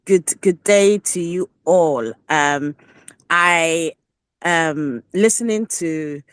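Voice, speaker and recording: A woman speaks casually in an online discussion at a very slow pace. Her voice is on the low side, hesitant and reflective, with a dry, close sound. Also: no echo. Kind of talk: casual personal remarks.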